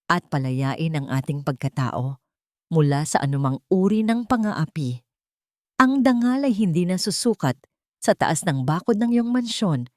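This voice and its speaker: A Filipino woman narrates a sentimental audiobook drama in a somewhat low voice. Her delivery is earnest and solemn, as scripted storytelling. The recording is very dry, with no room sound. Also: a little mellow, no echo.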